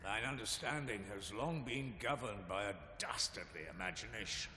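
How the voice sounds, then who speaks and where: A sharp, forceful male voice rings through a long reverberant hall. He is scornful and theatrical, with archaic diction and dramatic swings of pitch, in a dark fantasy game. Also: lively intonation, moderate pace. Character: scornful, resentful brother in a gloomy manor. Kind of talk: scripted dramatic game dialogue. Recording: echoing hall, long reverb, faint hiss.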